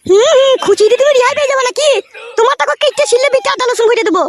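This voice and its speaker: A woman's voice: extremely high pitch, rapid-fire, background noise. A woman voicing a stern middle-aged mother-in-law in a comic cartoon, nagging and challenging her son-in-law. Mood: scolding, indignant. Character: shrill, scolding middle-aged mother-in-law siding with daughter. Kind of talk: comic cartoon dialogue in village family quarrel.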